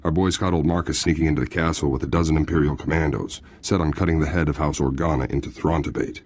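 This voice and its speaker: An actor playing a deferential, chatty military prison guard in a video game, with a folksy, informal manner. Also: male voice, a bass voice, fairly even intonation, fast, darkish tone, slightly rough, no room sound, exaggerated voice. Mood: apologetic, chatty.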